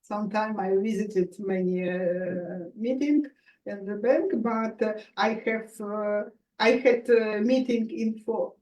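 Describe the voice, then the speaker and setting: A woman's voice: mid-range voice, slow, a little mellow. Hesitant woman on a distant, roomy call. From Ukraine. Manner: hesitant broken English, many fillers, laughs. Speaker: meeting participant, bank worker. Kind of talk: casual video call chat. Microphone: video call.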